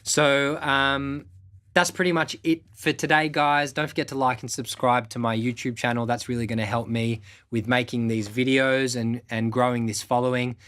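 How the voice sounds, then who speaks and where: A man's voice: mid-range voice, level tone, moderate pace, a bit bright, smooth. A young man who hosts his own show as a music producer, giving candid motivational advice to camera in a laid-back way, with casual swearing and lots of fillers.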